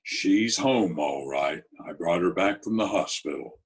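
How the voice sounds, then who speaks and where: Tough New gangster for radio drama, played by a man: very low, unhurried, commanding. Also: lively intonation, heavily acted. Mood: gruff, matter-of-fact. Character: Dave the Dude, tough but helpful New York gangster. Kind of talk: old-time radio drama acting. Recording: slightly rough remote video-call audio.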